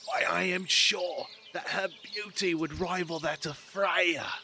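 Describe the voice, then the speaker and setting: A man's voice: high voice, fairly even intonation, slow, pushed and bright, voice pushed up, exaggerated voice. A man playing a sly, mischievous trickster god in an audio drama, wheedling and theatrical as he schemes. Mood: smooth flattery. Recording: noticeable background noise.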